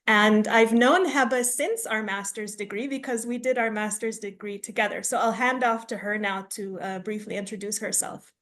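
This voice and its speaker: A woman's voice: medium pitch, normal speed, few pauses, fairly bright tone. A woman from the United States who grew up in Tanzania, facilitating an online workshop over a video call in a friendly, explaining way with frequent fillers. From United States, raised in Tanzania.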